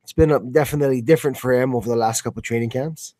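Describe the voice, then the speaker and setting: Male co-commentator for fight coverage, medium pitch, informal delivery, dry recording with no room sound. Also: racing, mellow, no echo. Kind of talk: casual sports commentary.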